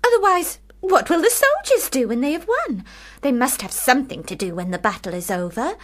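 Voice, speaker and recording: A woman's voice: high-pitched, sing-song, a little fast. An actress in a radio play, a woman, playing an eager, bossy little sister with precocious, formal phrasing. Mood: eager, curious.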